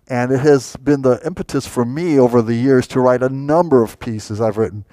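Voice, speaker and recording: A man's voice: medium pitch, no echo. An older man, an organist and composer, chatting enthusiastically as he introduces a concert inside a large wooden church, speaking into a clip-on mic. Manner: chatty, enthusiastic, warm asides. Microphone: clip-on lapel mic.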